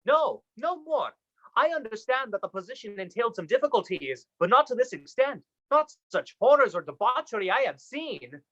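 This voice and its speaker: A woman's voice: fairly high pitch, sing-song, moderate pace, a bit bright. A woman acting in a radio play as a principled, distressed young male medical apprentice, speaking earnestly and formally, on dull lo-fi audio. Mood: indignant, distressed. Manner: earnest, formal, dramatic delivery.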